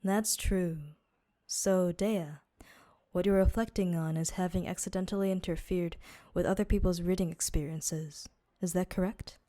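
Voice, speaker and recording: Mellow, low devout desert guardian woman acting. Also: fairly even intonation, lightly acted. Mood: gently admonishing. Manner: formal, measured, pious. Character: devout, courteous female desert guardian, calm and advising. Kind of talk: amateur game-quest dub.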